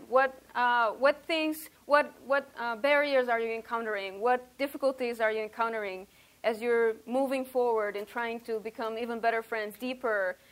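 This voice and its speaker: A woman in her thirties leads a group workshop. Her voice sits high, and she talks in an outgoing, chatty way with frequent ums. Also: fairly bright tone. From Romania. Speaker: workshop leader. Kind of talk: leading a church workshop. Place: workshop room, indoors.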